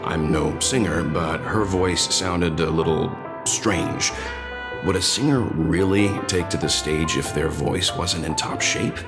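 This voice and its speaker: A man's voice: sits low, a little slow. An actor voicing a reserved, perceptive male space traveler in a video game, with a dry, musing delivery. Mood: pondering. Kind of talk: English video game voice acting. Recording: loud music behind the voice.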